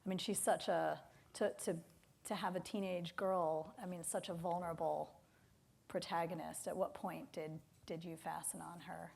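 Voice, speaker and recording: A woman's voice: fairly low pitch, fairly even intonation, normal speed, gravelly, a little mellow, husky. Woman interviewer, tentative and conversational. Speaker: interviewer, event moderator. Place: on stage in a theater.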